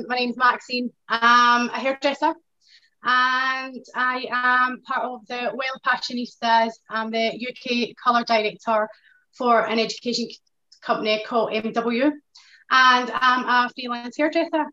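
A woman's voice: on the high side, fairly even intonation, a little slow. A Scottish woman in her thirties with a Glasgow accent, a guest chatting enthusiastically on a video call from her living room. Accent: Glaswegian Scottish. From Scotland. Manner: upbeat, warm laughter, enthusiastic. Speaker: guest, hair colour director. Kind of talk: casual video-call interview. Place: living room with sofa, indoors. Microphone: video call laptop. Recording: dull lo-fi video-call audio.

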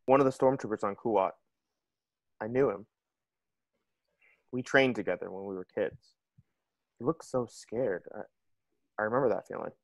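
A man's voice: fairly low pitch, moderate pace, long pauses, no echo, natural voice. A man playing a haunted, compassionate young ex-soldier, earnest and hesitant, in a fan table read. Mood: troubled.

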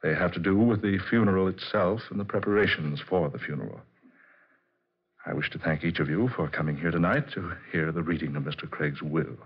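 Calculating attorney, low-voiced, measured and formal; muffled 1940s radio drama. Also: male voice, breathy edge. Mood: formal, solemn. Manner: formal, measured, self-assured. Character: smooth-talking, calculating male attorney. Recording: muffled vintage radio recording.

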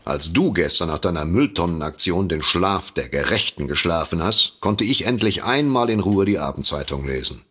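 A droll writer-sidekick for a comic radio play. The voice is low and raspy, with very lively melody. He teases smugly and lingers on his emphasis. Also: moderate pace, few pauses, heavily acted. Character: droll, self-satisfied male writer and detective's sidekick. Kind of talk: comedic audio drama acting.

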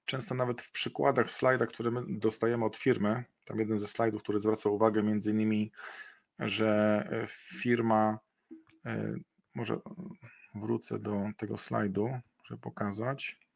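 Middle-aged male webinar presenter, gravelly voice, hesitant, fills gaps with "e", webcam sound. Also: lowish voice, little pitch movement, a little slow. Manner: hesitant, many fillers and restarts. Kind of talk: investment webinar talk.

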